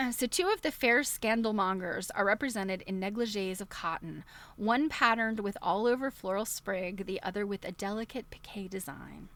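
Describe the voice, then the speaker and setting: A woman's voice: mid-range voice, animated, moderate pace, a bit bright. Chatty woman reading aloud on a livestream. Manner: chatty, digressive, often breaking into chuckles. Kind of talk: casual livestream read-aloud with chatty commentary.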